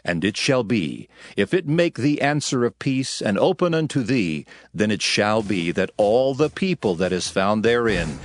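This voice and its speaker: Solemn male scripture narrator, expressive intonation. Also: lowish voice, no echo. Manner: solemn, formal, measured.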